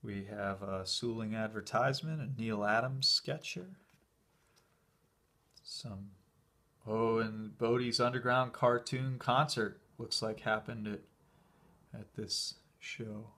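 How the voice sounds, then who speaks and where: A man talks off camera in a low, slightly husky voice while leafing through an old program indoors. His commentary is relaxed and enthusiastic, with easy fillers and short pauses. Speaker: collector showing a convention program. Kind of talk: casual flip-through commentary.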